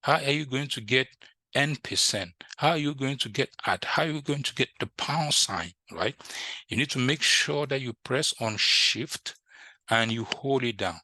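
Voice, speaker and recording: Guy doing a computer tutorial, bright forceful voice, super dry sound, repeats himself, says right? a lot. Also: medium pitch, normal speed, dry recording. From French-speaking country, moved to the US. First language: French. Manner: repetitive, instructive, often checks with "right?". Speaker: IT instructor. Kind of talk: informal screen-share tutorial.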